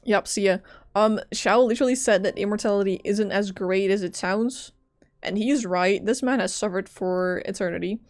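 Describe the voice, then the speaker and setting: A woman's voice: mid-range voice, moderate pace, a little mellow, no echo. A young woman doing casual live game commentary as a streamer, with offhand, jokey asides.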